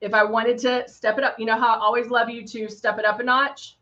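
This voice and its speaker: Chatty Texan woman, slightly high voice. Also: little pitch movement, fairly quick, sharp tone. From Texas. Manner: chatty and upbeat, talks while applying makeup, playful sound effects. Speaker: makeup brand host. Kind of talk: live makeup tutorial, chatting with viewers. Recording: dull lo-fi livestream audio.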